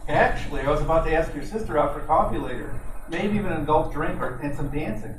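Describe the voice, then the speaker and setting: A man's voice: on the high side, quick pace, close to an everyday voice. Man acting a cocky, flirty detective, noisy echoey recording. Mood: smooth, flattering. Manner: smooth-talking, wisecracking, slangy. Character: flirty, cocky detective posing as an innocent train passenger. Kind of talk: old-time radio detective drama acting. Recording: roomy, noisy, distant amateur mic.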